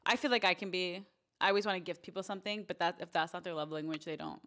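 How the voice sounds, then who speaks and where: A woman's voice: on the low side, fast talker, fairly bright tone. A young adult woman co-hosting a podcast in casual conversation with a friend, speaking into a close handheld mic. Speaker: podcast co-host. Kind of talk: casual chat between friends. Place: small bedroom, sitting on a bed. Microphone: handheld microphone held close.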